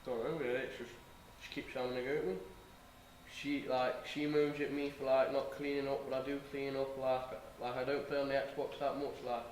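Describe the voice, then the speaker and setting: A man's voice: mid-range voice, flat delivery, brisk, reverberant, distant mic, a little hiss or hum, lightly acted, low-quality recording. A young man playing a lazy, sulky boyfriend. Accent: English Midlands. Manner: mumbled, evasive, filler-heavy.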